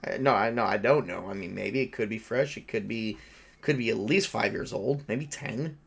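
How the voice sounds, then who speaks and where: A man acting as game master, improvising tabletop roleplay conversationally in a halting, rambling way. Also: fairly low pitch, gravelly, breathy edge.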